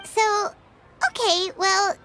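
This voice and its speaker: A woman voicing a tiny, childlike fairy companion in a video game, squeaky, curious and earnest. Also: steady tone, extremely slow, pushed and bright, slight background noise, exaggerated voice. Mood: curious, puzzled. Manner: refers to self in third person, inquisitive.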